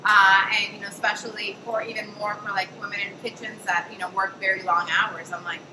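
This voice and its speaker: A woman's voice: medium pitch, flat delivery, a little fast. A woman hosting a panel conversation, telling a story casually with lots of fillers. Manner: chatty, many fillers and "I'm like". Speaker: host and café founder. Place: café event space. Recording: echoey room, distant mic, noisy.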